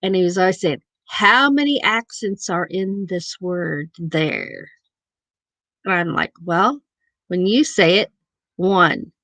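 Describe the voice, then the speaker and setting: Livestream host: chatty woman with a southern Missouri drawl, speaks slowly, dry webcam sound. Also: fairly low pitch, fairly bright tone, no echo. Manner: chatty, reads viewer chat aloud. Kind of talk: casual live chat.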